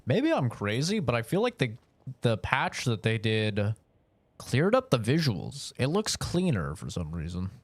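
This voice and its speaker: A man's voice: medium pitch, huge pitch swings, measured pace, dry recording. A young man chatting casually while streaming a game, rambling and swearing in a laid-back way. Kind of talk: casual live-stream chat while gaming.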